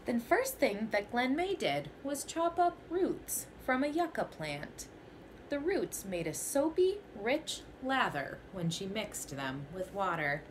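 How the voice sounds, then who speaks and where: A woman's voice: on the high side, big pitch swings, takes it slowly, a little hiss or hum, low-quality recording. A young woman teacher reading a children's picture book aloud in a small bedroom, telling it expressively in the first person.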